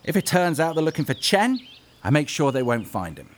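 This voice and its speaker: An actor playing a cheerful, sly trickster guide in a comedic fantasy audio drama, chatty, glib and theatrical. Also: male voice, on the high side, big pitch swings, a little fast. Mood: earnest, defensive.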